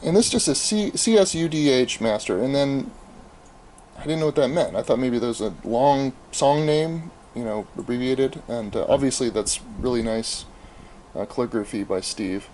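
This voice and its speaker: A middle-aged American man gives a casual, unscripted show-and-tell, thinking aloud in a halting, explanatory way. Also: mid-range voice, lively intonation, darkish tone. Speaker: amateur audio archivist showing his tapes. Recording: clearly noisy home video, room ambience.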